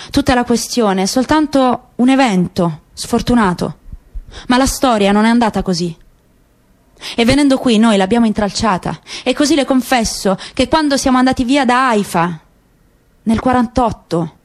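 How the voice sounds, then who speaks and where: A woman actor narrating a radio drama in a measured, grave way. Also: fairly high pitch.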